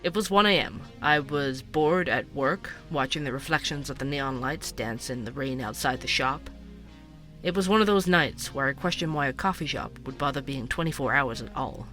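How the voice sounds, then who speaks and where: A man's voice: mid-range voice, lively intonation, moderate pace, bright, forceful tone, soft background music. A man playing an earnest night-shift worker turned investigative podcaster, narrating a scripted show in a confiding, musing way. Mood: confiding, wry. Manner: musing, confiding, addresses listeners directly. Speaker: podcast host.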